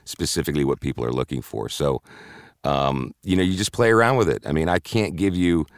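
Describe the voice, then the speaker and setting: Deep-voiced man, very low pitch. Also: no echo, clean studio sound. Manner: rambling, halting, with many fillers and restarts. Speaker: voiceover announcer, interview guest. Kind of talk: casual interview chat.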